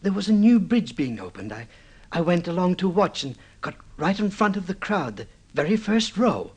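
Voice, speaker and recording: Obsessive newlywed guy in old radio play, high swoopy husky voice, stammers when worked up. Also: heavily acted. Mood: aggrieved, reminiscing. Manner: halting, stammering repeats, swinging into boastful excitement. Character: excitable, obsessive newlywed man recounting his suicide attempt. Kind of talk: old-time radio drama acting.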